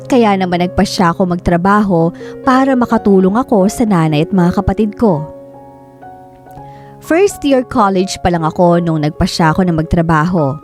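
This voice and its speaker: A woman's voice: fairly low pitch, normal speed, natural voice. An actress playing a dutiful eldest daughter in her thirties, telling her story in earnest, plaintive radio drama narration. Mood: plaintive, resolute. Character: Karen, 33-year-old dutiful eldest daughter recounting family hardship. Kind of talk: scripted dramatic first-person radio narration. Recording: soft background music under the voice.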